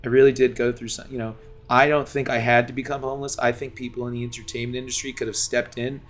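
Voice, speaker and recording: Earnest, flat-voiced man rambling to viewers. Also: medium pitch, fast talker, a little hiss or hum. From Los Angeles. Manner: earnest, rambling, full of restarts and fillers. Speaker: game streamer. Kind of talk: rambling personal monologue to stream viewers. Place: at home.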